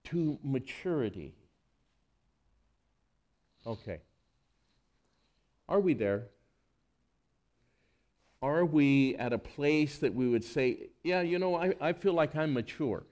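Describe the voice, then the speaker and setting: Lively, high-voiced male pastor preaching. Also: slow, pauses often. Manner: conversational, animated preaching with rhetorical questions. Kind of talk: sermon.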